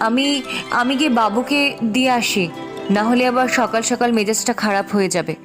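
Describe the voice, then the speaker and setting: A woman voicing a practical young cartoon wife, expressive and questioning as she chides her husband. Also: fairly high pitch, brisk, over music, natural voice. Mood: resigned. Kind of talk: animated cartoon dialogue.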